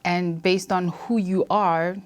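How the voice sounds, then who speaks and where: A woman in her thirties speaks with a South African accent in a fairly low register. She answers interview questions conversationally, as a guest describing her own working life. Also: steady tone, takes it slowly. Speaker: interview guest, air traffic controller.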